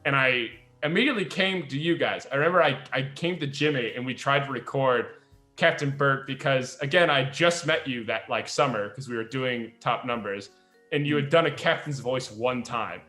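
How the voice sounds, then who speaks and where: A man chatting casually and reminiscing as a podcast host, in an animated, storytelling way. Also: medium pitch, normal speed. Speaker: podcast host and audio drama creator. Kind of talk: casual chat, reminiscing among friends. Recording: roomy echo, faint music behind.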